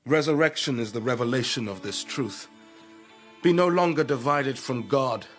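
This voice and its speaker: An actor playing a proud, charismatic young god in a classical radio drama, speaking in a solemn, measured, incantatory way. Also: male voice, mid-range voice, animated, a bit bright, faint music behind, natural voice. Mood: serene, preaching.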